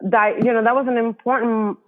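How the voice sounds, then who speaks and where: A woman's voice: fairly high pitch, level tone, a little fast. A woman from the Dominican Republic, a guest author, talking earnestly in a casual interview and thinking aloud with many fillers. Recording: tinny phone-like line.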